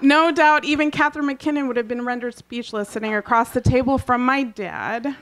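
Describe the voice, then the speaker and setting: Woman from Kansas, first language Urdu, reading her own essay. Slightly high voice, dry humor, clears her throat often. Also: normal speed, fairly bright tone, poor audio. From Kansas, USA. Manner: reads wryly with offhand asides and frequent throat clearing. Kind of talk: reading aloud a personal essay at a book launch. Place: live literary event.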